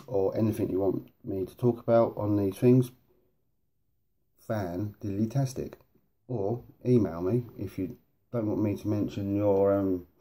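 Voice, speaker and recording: A man with a very low, dark voice hosts a hobby vlog. He rambles along slowly in informal, matey slang. His nose sounds sniffly and a little blocked. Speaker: host of a hobby video series.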